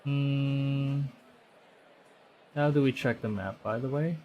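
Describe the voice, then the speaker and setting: A man's voice: medium pitch, slow, lo-fi sound, slight background noise. Man streaming a visual novel. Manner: conversational, stumbles and corrects himself while reading. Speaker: VTuber streamer. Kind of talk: reading visual novel text aloud on stream.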